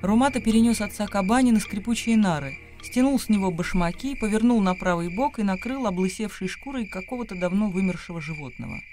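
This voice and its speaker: A man's voice: high-pitched, lively intonation, fast, few pauses, darkish tone, soft background music. A man narrating literary prose as the storyteller of a radio play.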